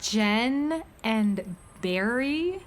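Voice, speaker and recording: A woman's voice: fairly high pitch, animated, very slow, a little hiss or hum. An actress playing a plucky, inquisitive girl assistant in a children's space radio drama, speaking expressively. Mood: curious.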